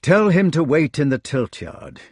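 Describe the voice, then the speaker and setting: A man's voice: a little high, huge pitch swings, a little slow, a little mellow, breathy edge, dry recording, voice pushed up, full character voice. A man voicing a weary, stoical storybook knight who speaks in terse, understated phrases. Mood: curt, reluctant. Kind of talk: storybook character voice.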